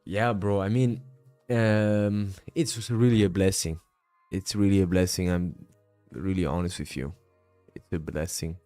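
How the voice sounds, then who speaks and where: A man's voice: deep voice, steady tone, measured pace, mellow, faint music behind. A man in his late twenties casually talking through his trades on a live stream and answering chat, with many fillers, tired after a long session. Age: 20s. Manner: casual, many fillers, calls viewers bro. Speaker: day trading streamer.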